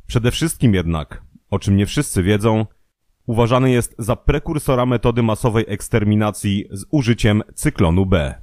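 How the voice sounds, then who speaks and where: A man's voice: fairly low pitch, moderate pace, darkish tone, no echo. Man narrating, dry studio sound. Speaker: narrator of a true-crime history audio programme. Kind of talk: scripted narration.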